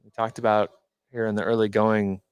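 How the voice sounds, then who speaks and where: A man working as color commentator on a live basketball broadcast, analysing in a conversational, somewhat halting way as he thinks aloud. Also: on the low side, flat delivery, clear, resonant tone, dry recording.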